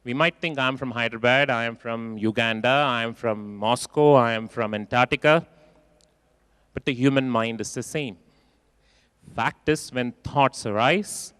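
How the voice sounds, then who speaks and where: A man giving a keynote talk in a large conference hall, expressive and acting out quoted voices, repeating phrases for emphasis. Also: medium pitch. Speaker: keynote speaker.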